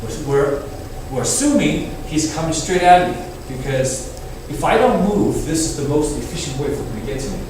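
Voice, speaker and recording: A man's voice: on the high side, expressive, normal speed, hardly any pauses. A man from Taiwan teaching a martial arts class, explaining loosely and often checking in with "okay?" and "right?". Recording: echoey hall, distant mic, background noise.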